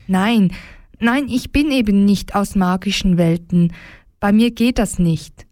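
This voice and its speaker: A woman's voice: medium pitch, unhurried, a little mellow, no echo, clean studio sound, close to an everyday voice. A woman playing a lonely, defiant homeless woman in a radio drama, speaking earnestly. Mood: earnest protest. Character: lonely homeless woman, last soul with a heart. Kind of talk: scripted radio drama acting.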